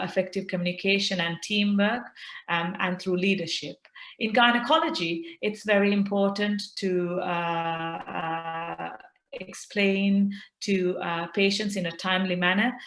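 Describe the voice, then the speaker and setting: A middle-aged woman from Sri Lanka, a consultant doctor, answering interview questions earnestly with many pauses and fillers. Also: mid-range voice, a bit bright, reverberant. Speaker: consultant obstetrician and gynaecologist.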